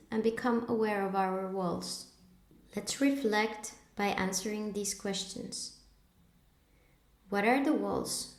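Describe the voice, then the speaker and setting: A woman narrating a guided meditation in a measured, instructive way, pausing between short phrases. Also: medium pitch, darkish tone, reverberant.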